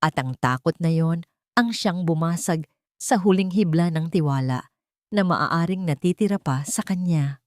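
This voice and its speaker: Woman narrator doing dramatic story reading, lowish voice, really dry close sound, emotive. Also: lively intonation, moderate pace, darkish tone, no room sound.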